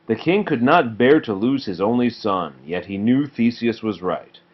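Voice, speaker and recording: A man's voice: mid-range voice, expressive, moderate pace. A man reading a children's storybook aloud as a parent to a child, in a narrating style. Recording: muffled home recording with clear noise.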